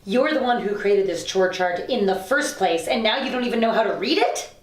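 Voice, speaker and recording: An actress playing a vain, snippy, bossy housemate in a dramedy table read. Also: female voice, medium pitch, expressive, fairly quick, lightly acted. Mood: bickering. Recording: roomy echo, distant microphone.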